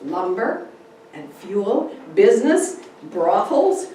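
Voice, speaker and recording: A woman giving a dramatic reading of a memoir, telling it in a deliberate, theatrical way with pauses for effect. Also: mid-range voice, big pitch swings, dark tone. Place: large echoey indoor room. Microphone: distant camera microphone. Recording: very echoey room, distant, faint noise.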